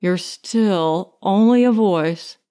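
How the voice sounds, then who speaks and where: A woman voicing a lonely, yearning man without a body in audiobook dialogue, earnest and halting. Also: medium pitch, dark tone, voice pushed down, natural voice. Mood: halting, tender. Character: lonely bodiless man, anxious, yearning, emotional.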